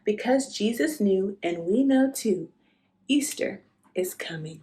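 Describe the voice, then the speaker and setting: Slow-paced woman reading a children's storybook. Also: medium pitch, lively intonation, mellow. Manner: unhurried storytelling, addressing young listeners as family. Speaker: children's read-aloud host. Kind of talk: expressive storybook narration for children.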